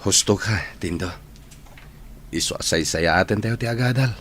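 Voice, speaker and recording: A low-pitched, sentimental young man in love, acted by a man in an Ilocano-accented radio drama. He is earnest and soft-spoken, a devoted trainee sweetheart, with faint noise behind him. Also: normal speed, lightly acted. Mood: lovesick, sad.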